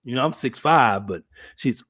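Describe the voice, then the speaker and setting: A man in his fifties hosts a loose, friendly chat. He rambles and restarts his sentences often, leaning on fillers. His voice sits at an everyday middle pitch. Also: animated, normal speed, fairly bright tone. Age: 50s. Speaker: podcast host. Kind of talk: casual chat.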